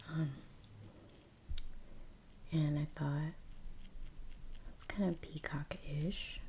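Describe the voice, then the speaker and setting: Hushed, slow, low-voiced woman doing ASMR. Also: a little hiss or hum, low-quality recording. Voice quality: breathy, often whispery. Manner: hushed, halting, unhurried. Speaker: ASMR artist hosting a painting session. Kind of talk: soft-spoken ASMR, casual musing while painting.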